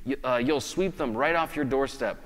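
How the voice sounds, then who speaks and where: Conversational preacher with a reverberant sound. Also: male voice, mid-range voice, moderate pace, a bit bright. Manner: conversational, explaining with open hand gestures. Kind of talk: sermon. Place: indoors in front of a wooden plank wall. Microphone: lapel mic.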